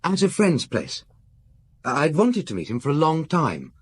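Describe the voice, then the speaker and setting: A man's voice: on the high side, wildly swooping pitch, moderate pace, husky, full character voice. A man playing an earnest, nervous young aristocrat in a radio drama, speaking politely and confidingly with hesitant restarts. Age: young adult.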